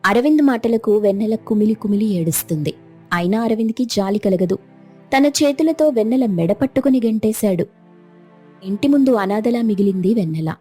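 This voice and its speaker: A woman's voice: mid-range voice, lively intonation, a little fast, dark tone, slightly rough, faint music behind. A woman narrating a scripted moral story as its storyteller.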